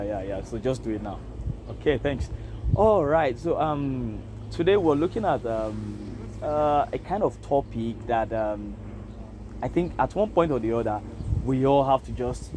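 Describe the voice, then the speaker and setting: A man's voice: mid-range voice, darkish tone, a little hiss or hum. A young Nigerian man with a Nigerian accent, vlogging in a hesitant, reflective way, full of fillers. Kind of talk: casual self-interview monologue.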